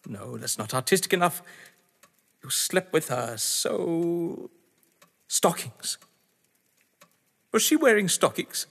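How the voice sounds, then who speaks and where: Casting: male actor as frightened, proud husband in audio drama. Theatrical, articulate, slow delivery, reverberant noisy recording. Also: on the high side, big pitch swings, creaky, a bit bright, husky, heavily acted. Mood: tense, scornful. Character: desperate, frightened husband playing a deadly game, haughty and indignant. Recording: long reverb, background noise.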